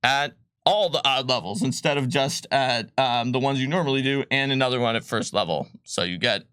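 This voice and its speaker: A man's voice: medium pitch, a little fast, fairly bright tone, smooth, dry recording, studio quality. A man casually explaining tabletop game rules on a livestream, rambling with lots of likes and ums. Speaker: game designer presenting rules.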